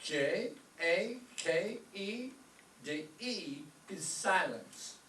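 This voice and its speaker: Stubborn, boastful businessman husband played by a man, slow delivery, old radio comedy, distant roomy recording. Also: high voice, expressive, fairly bright tone, heavily acted. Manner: self-important, immigrant phrasing. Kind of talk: stage re-creation of 1930s radio comedy. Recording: echoey distant stage recording, faint noise.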